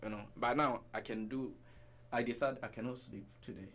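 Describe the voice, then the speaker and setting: A man in his thirties with a Ghanaian accent, a guest chatting in a radio studio, relaxed and mixing in Twi phrases. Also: mid-range voice, fairly quick, slight background noise. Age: 30s. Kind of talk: casual radio interview. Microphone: headset and desk mic.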